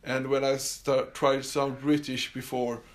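Swedish guy rambling on his podcast, lots of uh's and restarts, mid-pitched voice. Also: little pitch movement. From Sweden. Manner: halting, many fillers and restarts. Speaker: podcast host. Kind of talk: casual solo podcast monologue. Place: summer house.

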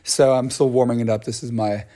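A man's voice: mid-range voice, fairly even intonation, fairly quick, dark tone. A man hosting his own dance podcast, chatting casually with lots of ums and restarts, his voice scratchy. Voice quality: scratchy, gravelly voice. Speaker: podcast host and dance choreographer. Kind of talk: casual solo podcast chat.

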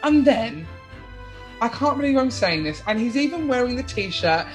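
A woman's voice: fairly high pitch, animated, moderate pace, soft background music. A British woman from the UK hosting a podcast interview, bubbly and gushing. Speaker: podcast host.

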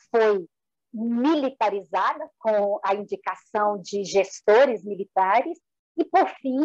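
A woman with a Brazilian accent giving formal, measured political analysis as a panelist, articulate and orderly. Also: fairly high pitch, no echo, highs cut off. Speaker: panelist in an online debate. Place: indoor room, live online debate.

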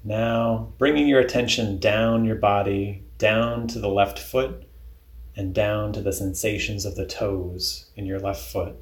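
A man leading a guided body scan meditation in a calm, deliberate, instructional way. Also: fairly low pitch, roomy sound.

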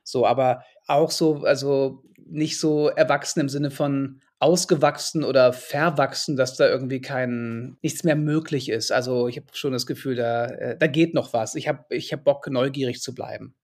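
A man's voice: medium pitch, fairly even intonation, normal speed, few pauses, darkish tone. A man in his forties from Hamburg, a guest author in a podcast interview, speaking reflectively and hesitantly with many fillers. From Hamburg, Germany. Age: 40s.

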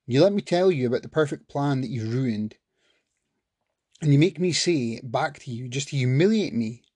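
A man voicing an angry, volatile man whose bitter words rise into yelling at his partner. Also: sits high, quick pace, creaky, breathy edge, no echo, voice pushed up, heavily acted. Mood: furious.

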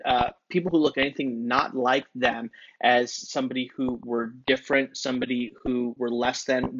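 A man's voice: medium pitch, fairly bright tone, lo-fi sound, slight background noise. A man talking earnestly in a casual faith discussion, with many restarts. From Indian heritage, not thick accent. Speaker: podcast co-host, ministry team member.

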